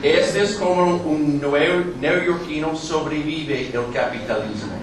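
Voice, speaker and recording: Man, American English accent, native English speaker, halting with fillers, slightly high voice, noisy recording. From New York, United States. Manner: halting, many fillers, joking asides. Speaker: guest lecturer. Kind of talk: public lecture with jokes. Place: lecture hall. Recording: echoey hall, distant mic, background noise.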